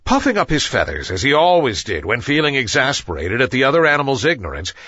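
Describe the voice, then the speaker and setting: A man narrating a scripted children's story as an expressive storyteller. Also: medium pitch, fast, slightly rough, no room sound.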